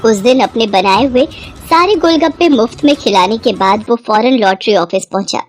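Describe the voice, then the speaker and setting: High, lively female storyteller. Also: moderate pace, faint music behind. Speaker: narrator. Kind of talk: scripted moral-story narration.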